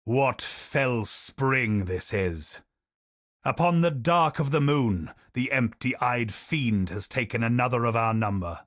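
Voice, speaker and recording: A man voicing a grave, weary knight commander in a game, reading journal entries in a solemn, measured, brooding way. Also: mid-range voice, expressive, a bit bright, dry recording, clean studio sound. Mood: grim, solemn. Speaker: voice actor reading in-game lore. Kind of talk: scripted game narration. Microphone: studio voice-over.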